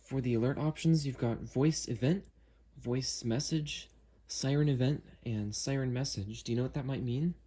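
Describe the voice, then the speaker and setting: A man's voice: medium pitch, moderate pace, mellow. A man hosting an unboxing video, talking casually and hesitantly as he works through a product demo. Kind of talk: casual unscripted product demo with friends on a call.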